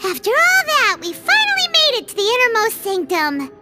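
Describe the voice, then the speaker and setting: A woman voicing a small, excitable fairy companion in a video game, squeaky and childlike. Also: normal speed, exaggerated voice. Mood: determined. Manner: speaks of self in third person. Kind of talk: anime-style game voice acting. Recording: children's tinkling music behind. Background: loud playful music.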